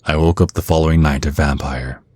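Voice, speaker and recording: A man's voice: rumbling low, steady tone, fairly quick, a little mellow, dry recording. A man playing a grumpy, protective centuries-old vampire bodyguard in an intimate ASMR roleplay, speaking in an earnest, brooding way. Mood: somber, reminiscing.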